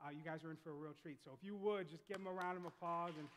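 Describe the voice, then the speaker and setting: An eager man leads a church-stage interview, stumbling over repeated words as he piles on the praise. His voice sits a little high for a man. It rings out with long reverb across a large sanctuary. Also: fairly even intonation, rapid-fire, background noise. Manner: enthusiastic, repeats words, warm affirmations. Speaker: pastor interviewing a guest.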